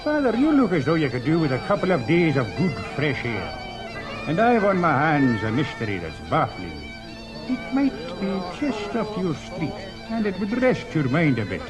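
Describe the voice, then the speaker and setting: An actor playing a genial, persuasive Scottish police superintendent in a radio drama, hearty and coaxing. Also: male voice, a little high, huge pitch swings, moderate pace, music in the background, low-quality recording. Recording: busy crowd behind radio dialogue. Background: crowd chatter.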